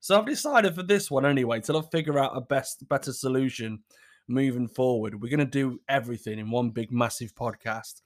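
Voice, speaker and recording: A man's voice: mid-range voice, brisk. Man with a scratchy sore throat. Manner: rambling and apologetic, with restarts. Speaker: podcast host. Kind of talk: casual solo podcast chat.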